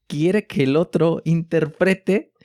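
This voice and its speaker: A man's voice: fairly high pitch, slow, warm tone, no echo, studio quality. A man with an accent from Spain, enthusiastically explaining in a casual board game podcast chat, often checking in with tag questions. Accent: Spanish (Spain). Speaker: podcast co-host.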